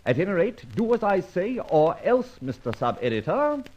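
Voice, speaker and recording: An actor playing a suave, indignant British gentleman thief in an old-time radio detective serial, with articulate, flippant delivery. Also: sits high, very animated, normal speed, lo-fi sound. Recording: noisy old radio recording.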